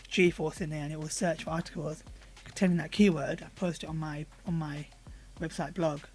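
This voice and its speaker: A man with a hoarse voice talks through a software demo over his screen recording. He speaks in a relaxed, conversational way, stopping often for ums and uhs as he clicks through each menu. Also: on the high side. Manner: relaxed, conversational, with frequent fillers. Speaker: product reviewer.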